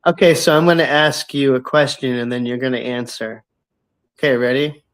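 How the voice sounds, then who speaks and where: A man's voice: medium pitch, fairly even intonation, normal speed, smooth. A man who is a guest on a casual livestream chat over a video call, supportive and full of short affirmations.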